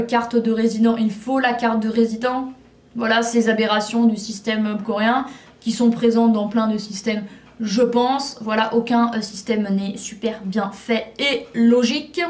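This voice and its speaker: Young French woman vlogger, chatty, halting, in an echoey room. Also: fairly high pitch. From France. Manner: hesitant, many fillers and restarts. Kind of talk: casual chat. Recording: echoey room, voice a bit far from mic.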